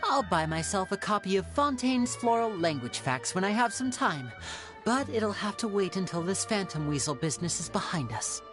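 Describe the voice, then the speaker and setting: A woman playing a suave, theatrical young male stage magician in an anime game, smooth and showmanlike. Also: medium pitch, very animated, moderate pace, lightly acted. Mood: gracious. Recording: music playing behind.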